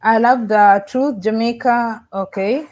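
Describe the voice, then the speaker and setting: Chatty higher-voiced woman from Africa. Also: fairly even intonation, slow pace, a little mellow. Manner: chatty, restarts sentences, addresses viewers directly. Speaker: YouTuber livestreaming and reading chat. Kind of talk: casual live-stream chat. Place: living in the Caribbean.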